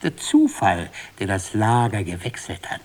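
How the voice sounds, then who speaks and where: Solemn male bard of fate, radio drama narration: lowish pitch, unhurried delivery, deliberate, impassioned declamation. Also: very animated, darkish tone. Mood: grave, mounting. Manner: declamatory, deliberate, repeats for emphasis.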